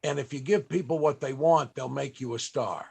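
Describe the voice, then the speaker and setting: A man hosting a panel, telling a personal story in a halting, reflective and emotional way. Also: mid-range voice, creaky, warm tone, slightly rough. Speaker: panel host and entertainer. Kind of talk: storytelling at a live interview panel.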